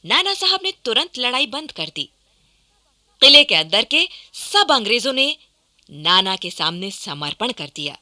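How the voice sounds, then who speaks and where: Female radio drama narrator: very bright, expressive, wide-ranging pitch, formal scripted storytelling. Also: unhurried.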